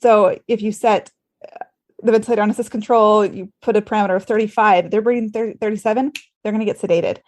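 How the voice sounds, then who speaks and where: A woman's voice: medium pitch, steady tone, fast, darkish tone. Earnest woman in podcast conversation. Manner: earnest, emphatic, rhetorical questioning. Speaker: podcast host and former travel nurse. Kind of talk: conversational medical podcast discussion.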